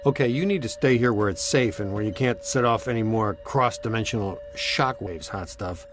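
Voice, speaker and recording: Low-voiced, cocky male wisecracker, acted. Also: moderate pace, music in the background, close to an everyday voice. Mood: glib, assured. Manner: sardonic, glib, laid-back. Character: wisecracking, cocky, flirtatious ghost-hunting scientist, adult man. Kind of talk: video game cutscene acting.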